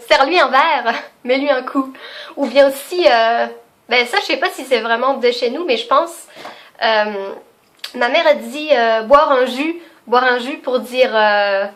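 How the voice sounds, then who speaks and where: Young québécoise woman vlogging, highish voice, laughs a lot, lots of euh's. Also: a bit bright. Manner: chatty and spontaneous, laughs often, many fillers. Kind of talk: casual unscripted video chat.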